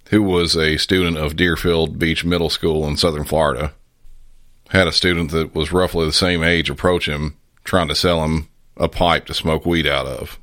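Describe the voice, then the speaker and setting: A man hosting a podcast, narrating true-crime backstory in a measured, matter-of-fact way. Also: a bass voice, fairly bright tone, no echo, studio quality. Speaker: podcast host.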